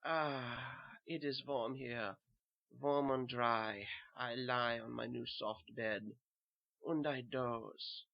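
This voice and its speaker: A man's voice: medium pitch, steady tone, takes it slowly, vocal fry. Man playing a haunted German soldier, muffled recording. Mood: subdued. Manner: simple short sentences, haunted. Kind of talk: first-person horror audio narration.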